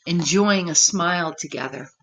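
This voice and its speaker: A woman reading a story aloud to young children, gentle and expressive, pausing to chat and ask them questions. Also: fairly low pitch, slight background noise, low-quality recording. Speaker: children's story reader, teacher. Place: room at home.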